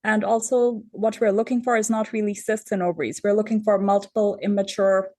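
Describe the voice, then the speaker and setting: A woman from Bangladesh, an endocrinologist, giving measured, methodical explanations as a podcast guest. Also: medium pitch, fairly even intonation, a little mellow. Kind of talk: explanatory interview answers.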